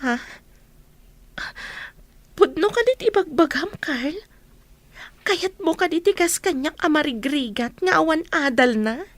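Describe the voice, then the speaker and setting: A woman's voice: high-pitched, expressive, unhurried, pauses often, no echo. A woman with an Ilocano accent playing a poor, unschooled, tender young elder sister in a radio drama. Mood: surprised, moved.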